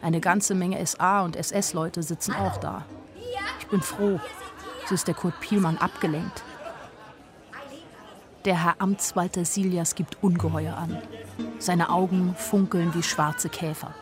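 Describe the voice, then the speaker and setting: A woman's voice: lowish voice, steady tone, unhurried, pauses often, over music, a crowd in the background, close to an everyday voice. An actress playing a naive but observant young woman who tells her own story in a wry, plain-spoken radio drama narration. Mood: amused, observant.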